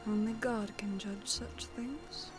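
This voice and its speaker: Woman acting a slow, composed, solemn nun. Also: mid-range voice, steady tone, close to an everyday voice. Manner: measured, formal, reserved. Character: stern, composed, grieving tall nun who gardens. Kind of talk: audio roleplay character acting. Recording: loud music behind.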